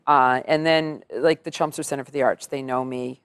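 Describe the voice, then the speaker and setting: A woman's voice: a bass voice, fairly even intonation, moderate pace, smooth, dry recording. A middle-aged woman, chatting upbeat about her work as an entertainer in an interview. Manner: chatty, upbeat, laughs easily. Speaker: entertainer and singer. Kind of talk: casual interview chat.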